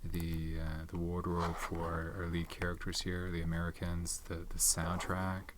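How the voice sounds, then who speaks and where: A soft-spoken man in his thirties hosting an ASMR channel, rambling casually about movies with many fillers and some whispered words. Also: sits low, completely flat delivery, unhurried, background noise. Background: tapping and keyboard clicks.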